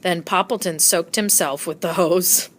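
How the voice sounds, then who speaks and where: A woman narrating a children's storybook aloud with expressive storytelling delivery. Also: lowish voice, measured pace. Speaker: storybook reader.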